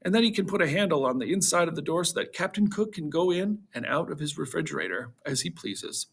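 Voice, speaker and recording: A man's voice: a little high, animated, fast talker, creaky, slightly rough, full character voice. A man voicing an eager, kindly father who likes to explain things, in a storybook reading. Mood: pleased.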